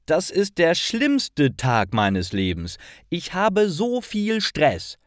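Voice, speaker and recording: A man acts out a stressed storybook bridegroom at the end of his patience. The voice is raised high and swings widely, loud and dramatically exasperated. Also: wildly swooping pitch, slow pace, no echo, studio quality, heavily acted. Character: stressed, angry bridegroom shouting in despair.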